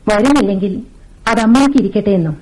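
A woman playing a sorrowful, bitter housemaid in a Malayalam radio drama, speaking in a deliberate, literary and emotive way. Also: medium pitch, darkish tone, close to an everyday voice, low-quality recording. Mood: somber. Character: sorrowful, bitter housemaid confiding her ruined life.

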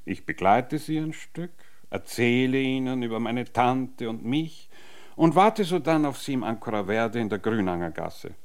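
A courtly, inquisitive gentleman from a law office, acted by a man in a radio play. His mid-pitched voice moves in lively swoops, formal and gallantly flirtatious. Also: moderate pace, lightly acted. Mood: businesslike. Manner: formal, old-fashioned gallantry. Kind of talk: radio drama acting.

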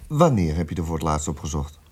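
A man acting in a radio drama as a cold, methodical police interrogator with a measured, official way of talking. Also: extremely low pitch, sing-song, darkish tone, husky, heavily acted. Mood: cold, pressing. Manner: measured, insistent, official questioning. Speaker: actor.

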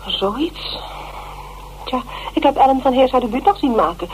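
An actress playing a prim, loyal older theatre dresser in a radio drama, hesitant and disapproving. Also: female voice, high voice, expressive, moderate pace, a little mellow, slight background noise, poor audio. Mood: shocked, mournful.

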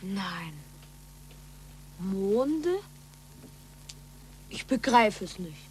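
A woman's voice: medium pitch, very animated, drawn-out words, darkish tone. A woman playing a puzzled thirteen-year-old boy pupil in a 1940s radio play. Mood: innocent, puzzled. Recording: faintly noisy, humming 1940s radio recording.

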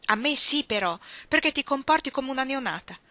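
A woman's voice: sits high, lively intonation, a little fast, sharp tone, dry recording, voice pushed up. A woman voicing a stern but loving young woman in an audiobook, insistent and exasperated. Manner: insistent, scolding. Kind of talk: audiobook story acting.

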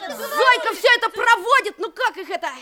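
An actress playing an impulsive, hot-tempered schoolboy in a children's radio play, blurting and insistent. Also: female voice, very high voice, moderate pace, over a noisy crowd. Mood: indignant, excited.

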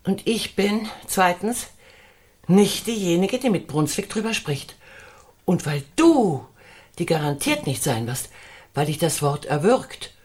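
A woman's voice: on the low side, very animated, unhurried, a little mellow, husky, exaggerated voice. An actress playing a whimsical, argumentative woman who philosophizes in a wordy, declamatory way in a German radio drama. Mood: agitated, insistent.

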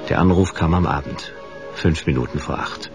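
An actor playing a hard-boiled, wry private detective in a radio crime drama, laconic and dry. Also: male voice, a bass voice, normal speed, over loud music. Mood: laconic brooding. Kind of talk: 1980s German radio crime drama acting with first-person noir narration.

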